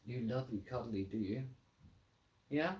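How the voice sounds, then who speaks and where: Very low-voiced woman reading playfully to children. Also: measured pace. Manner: playful, expressive, teasing. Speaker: mother hosting a children's story time. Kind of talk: storybook read-aloud and casual chat to children. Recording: roomy, slightly dull home recording.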